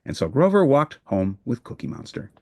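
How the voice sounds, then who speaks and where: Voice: mid-range voice, very animated, moderate pace, a little mellow, no echo. A storybook narrator reading a children's book aloud in a scripted narration style.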